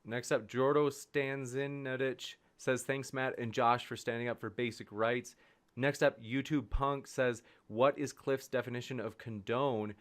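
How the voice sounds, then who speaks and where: A man's voice: medium pitch, fairly even intonation, measured pace. A man moderating a debate, reading viewer questions aloud casually.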